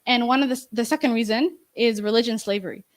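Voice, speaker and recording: A young woman giving a class presentation and answering questions, talking in a halting way with many restarts and fillers, into a handheld microphone in a bright meeting room. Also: a little high, no room sound, clean studio sound. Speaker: student presenter.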